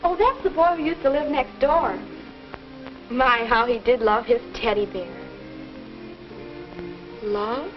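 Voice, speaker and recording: A woman's voice: on the high side, slow pace, long pauses. An actress playing an earnest, curious American teenage daughter in a 1950s instructional film, speaking in a girlish, neat way. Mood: reminiscing. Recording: dull vintage film soundtrack with music.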